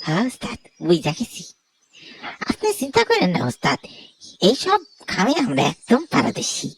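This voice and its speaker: A man's voice: sits high, huge pitch swings, moderate pace, fairly bright tone, slightly rough, no room sound, full character voice. A man voicing an obedient, boastful criminal henchman in a Bengali horror cartoon, eager and servile.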